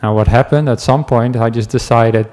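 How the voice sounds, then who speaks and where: A man from the Netherlands with a Dutch accent, a meditation teacher giving a relaxed talk to a class, telling stories with asides and small laughs. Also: medium pitch, normal speed. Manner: gentle, chatty storytelling with asides and small laughs.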